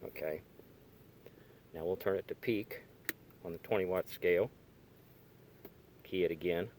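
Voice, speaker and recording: Male hobbyist demonstrator, low-pitched voice, tentative and halting, informal show-and-tell delivery, handheld camera in a car. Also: a little mellow, a little hiss or hum. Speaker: hobbyist demonstrating radio gear. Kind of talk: casual hands-on demonstration. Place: inside a parked car. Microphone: handheld camera or phone.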